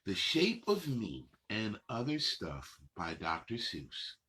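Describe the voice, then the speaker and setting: Husky man reading slowly to kids. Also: on the low side, huge pitch swings, bright, forceful tone. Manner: drawn-out, playful phrasing addressed to kids. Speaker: host reading children's picture books aloud. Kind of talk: children's story time read-aloud with chatty asides.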